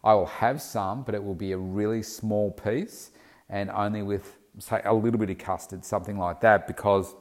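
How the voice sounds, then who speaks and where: A man's voice: on the low side, steady tone, moderate pace, darkish tone. A man who works as a personal fitness coach, sounding snuffly from hay fever, giving casual step-by-step advice with frequent ums. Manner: conversational, instructive, talks in steps with frequent ums.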